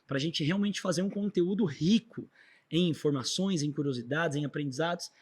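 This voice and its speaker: A man's voice: on the high side, normal speed, slightly rough. A Brazilian man hosting a podcast, talking in a conversational, affirming and encouraging way with his guest. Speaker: podcast host. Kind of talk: conversational interviewing.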